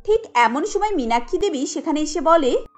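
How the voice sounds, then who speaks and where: High-pitched woman narrating a cartoon story. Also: expressive, moderate pace. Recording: light music under the voice.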